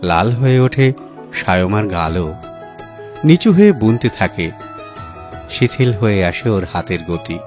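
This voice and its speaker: A man narrating a literary story as an audiobook, in an expressive reading style. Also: fairly low pitch. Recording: music under the voice. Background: background music.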